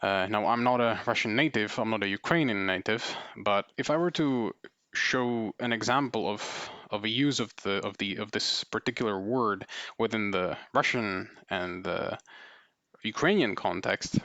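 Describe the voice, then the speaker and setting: Low-voiced man explaining, dull dry sound. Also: moderate pace, pushed and bright, no room sound. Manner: thinking aloud with many fillers and "right?" tags. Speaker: video essay presenter. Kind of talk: unscripted explanatory talk.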